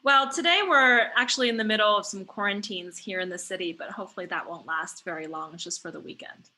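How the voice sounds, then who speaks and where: A woman's voice: medium pitch, quick pace, pushed and bright, slight background noise. A woman in her thirties, born in China, answering interview questions thoughtfully over a remote call, with frequent fillers and restarts. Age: 30s. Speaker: guest, startup founder.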